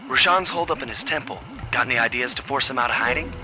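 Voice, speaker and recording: A man voicing a gruff, big, loyal hippo tough guy in a cartoon video game. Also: medium pitch, quick pace, close to an everyday voice, rough recording. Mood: gruff, wary.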